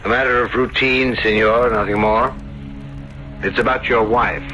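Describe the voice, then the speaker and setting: Actor as polite, probing, bright-toned police inspector. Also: male voice, mid-range voice, fairly even intonation, normal speed, natural voice. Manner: formal, deferential, insinuating. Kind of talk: 1970s radio drama acting. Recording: dull vintage radio broadcast, music behind.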